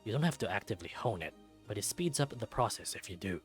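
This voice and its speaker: A man voicing a large male wolf who mentors fighters in a visual novel, steady and instructive. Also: lowish voice, fast talker, faint music behind. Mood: patient teaching.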